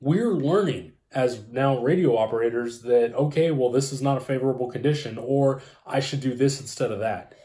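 A man's voice: mid-range voice, moderate pace, few pauses, warm tone. A man in his thirties chatting casually and informatively as he explains things as a co-host, recorded in a room at home. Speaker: co-host explaining ham radio. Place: small room at home, indoors. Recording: roomy, echoey home room.

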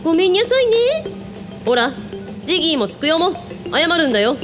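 High-voiced, commanding woman boss in radio drama. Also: sing-song, brisk, over music. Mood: teasing. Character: commanding, teasing female hotel owner with psychic powers.

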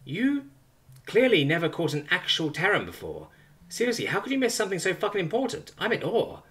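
A man voicing a defiant, sarcastic young woman held captive, raising his pitch and speaking quickly. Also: big pitch swings, bright, forceful tone. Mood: cocky.

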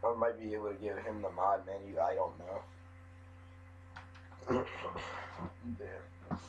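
A young man with a cold and a hoarse voice, rambling through casual commentary while modding a game, often restarting his sentences. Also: sits low, steady tone, slow. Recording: dull lo-fi mic with faint noise.

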